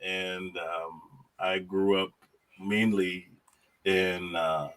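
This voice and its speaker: A middle-aged man from Jamaica, a guest on a podcast, talking casually about faith and his life, animated and repeating his words, often laughing. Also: low voice, extremely slow.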